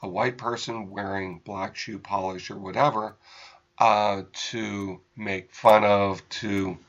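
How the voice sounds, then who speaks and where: An American man giving unscripted political commentary as a radio host, in halting, deliberate phrases with emphasized words. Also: lowish voice, flat delivery, a bit bright, dull audio.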